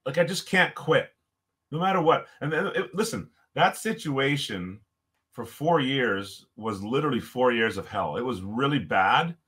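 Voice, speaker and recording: A man from Ontario with a loud voice, telling stories as a podcast guest in an animated, emphatic way. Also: mid-range voice, measured pace. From Canada, Ontario. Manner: animated storyteller, emphatic, frequent restarts. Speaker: podcast guest, former hockey player. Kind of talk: casual storytelling interview.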